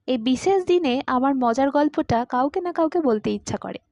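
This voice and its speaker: A woman's voice: high-pitched, animated, moderate pace, a little mellow, dry recording, studio quality. A woman voicing a courteous, talkative man of about forty who confides his story wistfully in an audiobook. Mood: polite, coaxing. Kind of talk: audiobook character dialogue.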